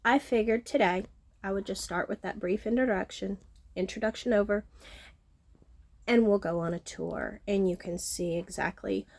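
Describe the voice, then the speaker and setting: A middle-aged woman with a nasal, sniffly voice, chatting casually on her home vlog and filling pauses with ums. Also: medium pitch, gravelly. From Texas Gulf Coast. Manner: frequent ums, self-deprecating, chatty. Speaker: vlogger, horticulturist. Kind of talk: casual talking-to-camera vlog. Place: outdoors at home, front porch.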